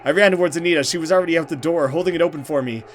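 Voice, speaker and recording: A man's voice: fairly high pitch, racing, slight background noise. A man hosting a let's play, reading horror game narration dramatically and reacting with casual commentary.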